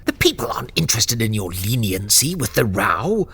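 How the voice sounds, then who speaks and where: An actor playing a defiant, sardonic political adviser in an audio drama, pointed and confrontational. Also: male voice, lowish voice, sing-song, normal speed, sharp tone, husky, full character voice. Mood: urgent, challenging.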